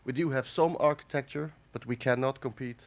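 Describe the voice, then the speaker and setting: A man from the Netherlands gives a conference lecture while nursing a cold. His delivery is halting, full of fillers and restarts, at a middling pitch. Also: steady tone. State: has a cold. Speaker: conference speaker. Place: conference hall.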